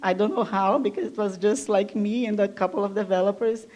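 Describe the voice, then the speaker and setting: A woman from Brazil, a native Portuguese speaker, speaks to a live audience at a design event. She talks with enthusiasm in a chatty, loose way, often leaning on fillers, at a medium pitch. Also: steady tone, brisk, darkish tone, muffled recording. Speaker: guest speaker. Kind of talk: conference talk.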